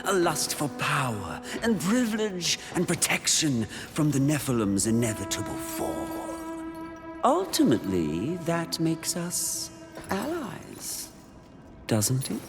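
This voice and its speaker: Music plays behind a silky, androgynous demon for a video game. The voice is seductive and taunting, swooping widely in pitch. It lingers theatrically over words at a slow pace. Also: wildly swooping pitch, full character voice. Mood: taunting, coaxing. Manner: theatrical, drawn-out, coaxing.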